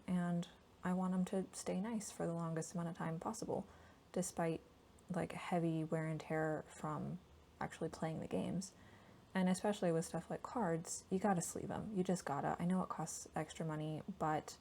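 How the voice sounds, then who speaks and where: A woman speaks in a soft, hushed ASMR voiceover with a gentle, casual manner. Her voice sits slightly low, with a relaxed, mellow tone and a noticeable creak. It turns breathy at times as she chats informally. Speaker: host of a board game ASMR channel. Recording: steady background noise from a laptop. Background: laptop fan noise.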